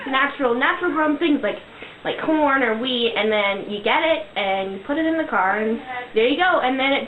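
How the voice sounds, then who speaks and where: A young woman playing a cocky high school science whiz in an amateur comic skit, earnest, boastful and rambling. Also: slight background noise. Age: young adult. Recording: echoey, phone-like sound amid crowd chatter.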